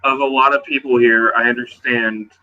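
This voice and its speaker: A man narrating and improvising in character as a role-playing game player, in a halting, conversational way. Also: mid-range voice, little pitch movement, phone-quality sound.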